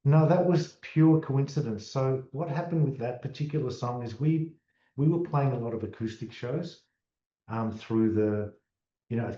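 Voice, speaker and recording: An Australian male musician talking casually in an interview, relaxed and often restarting his words. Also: mid-range voice. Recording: distant, dull, roomy sound.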